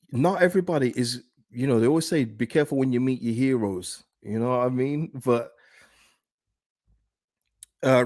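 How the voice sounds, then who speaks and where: A man with a deep, soothing voice hosting a football fan channel, chatting in a casual, rambling way as he talks with viewers. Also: a little mellow, no room sound, clean studio sound. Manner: conversational, rambling. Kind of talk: casual livestream chat, reading and answering viewer comments.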